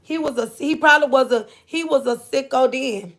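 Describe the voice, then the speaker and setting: A woman's voice: sits high, moderate pace, a bit bright. A woman from Florida with a Southern accent, hosting a casual livestream and chatting loosely with her viewers. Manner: chatty, drawling, scolds her kids off-mic. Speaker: livestream host. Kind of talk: casual live chat.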